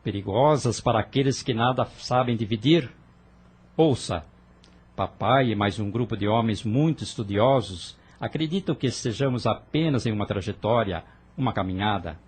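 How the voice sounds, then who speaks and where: A man's voice: on the low side, animated, normal speed, breathy edge. A man playing an earnest, idealistic young rebel who argues passionately with his sister in an audio drama. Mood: persuasive. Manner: fervent, persuasive, earnest.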